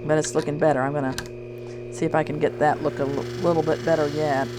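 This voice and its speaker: Woman instructor, low voice, easygoing and thinking aloud while she works, workshop noise behind her. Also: flat delivery, fast. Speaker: shear sharpening instructor. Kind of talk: hands-on demonstration talk.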